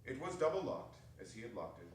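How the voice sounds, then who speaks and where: Expressive man narrating in a noisy hall. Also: mid-range voice, fast talker. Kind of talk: dramatic stage reading. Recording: echoey hall from far off, noisy.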